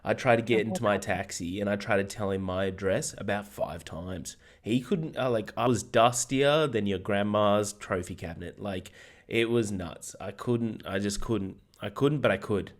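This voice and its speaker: Hoarse, jokey Australian man. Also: fairly low pitch, moderate pace. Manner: energetic, jokey, swears freely. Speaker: podcast co-host. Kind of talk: casual film podcast chat.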